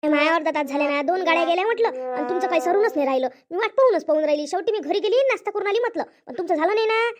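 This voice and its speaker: Very high, fast, bossy village woman acted by a woman. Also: expressive, a crowd in the background. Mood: scolding. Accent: Varhadi Marathi from Vidarbha. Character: bossy, chattering village women of a wedding household. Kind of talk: cartoon comedy dialogue.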